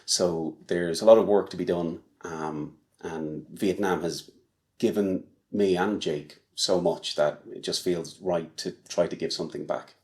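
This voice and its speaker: A man in his forties answering interview questions in a measured way, pausing often with ums. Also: low voice, fairly even intonation, darkish tone. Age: 40s. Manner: slow, measured, hesitant with ums. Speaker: charity walker.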